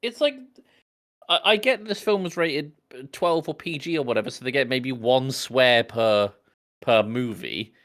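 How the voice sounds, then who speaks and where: A man's voice: medium pitch, a little slow, a bit bright. A man co-hosting a film podcast, explaining things casually with wry, opinionated asides. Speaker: podcast co-host.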